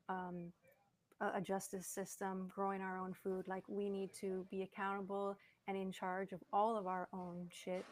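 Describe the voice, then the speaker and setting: A woman's voice: mid-range voice, a little mellow. A woman speaking earnestly and with conviction in a casual conversation.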